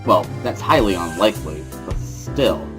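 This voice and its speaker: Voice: deep voice, animated, moderate pace, music in the background, pitched below the performer's own voice. A reader playing a wary teenage schoolboy who narrates his own story in a dry, mildly sardonic way, as a visual novel dramatic reading.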